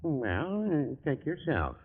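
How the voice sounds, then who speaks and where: An actor playing a cautious older small-town druggist in 1940s radio comedy, mild, hesitant and noncommittal. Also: male voice, fairly high pitch, takes it slowly, breathy edge, full character voice. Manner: hesitant, mild, evasive, deadpan. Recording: muffled, narrow-band 1940s radio recording.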